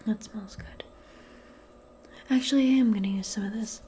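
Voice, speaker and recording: A woman speaking softly and breathily, often in a whisper, in a gentle, hesitant ASMR roleplay as a modern witch. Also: mid-range voice, slight background noise. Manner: gentle, unhurried, hesitant and improvised. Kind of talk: soft-spoken, whispered ASMR personal attention roleplay.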